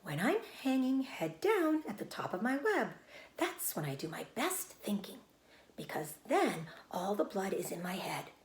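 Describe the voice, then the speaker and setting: A woman reading a children's storybook, voicing a motherly female spider in a measured, comforting and firm manner. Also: medium pitch, big pitch swings, echoey room. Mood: cool, collected. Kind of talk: children's storybook read-aloud.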